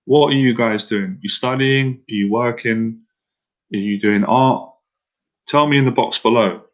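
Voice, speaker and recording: Male dating coach on a live stream: casual, direct, mid-pitched, a little muffled. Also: a little slow. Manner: casual and direct, repeats himself, asks viewers to answer in the chat.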